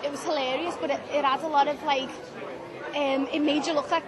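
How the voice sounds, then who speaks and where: A woman's voice: high voice, little pitch movement, a little fast. A young woman, interviewing in a casual way, saying "yeah" and "like" a lot. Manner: eager, lots of "yeah" and "like". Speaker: interviewer, host. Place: theatre venue, crowded. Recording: busy crowd in background.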